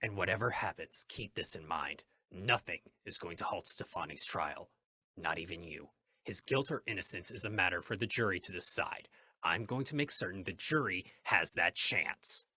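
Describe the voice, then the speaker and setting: An actor playing a stern, righteous masked crime fighter in an old-time radio drama, with formal, deliberate, commanding phrasing. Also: male voice, low-pitched, animated, bright, forceful tone, slight background noise, heavily acted. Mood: firm warning.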